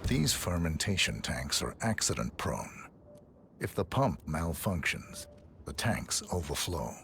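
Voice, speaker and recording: An actor playing a cold, calculating assassin in a video game, speaking in detached, clipped, matter-of-fact observations. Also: male voice, rumbling low, sing-song, a little slow, a bit bright, slight background noise, full character voice. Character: cold, calculating male professional assassin thinking aloud.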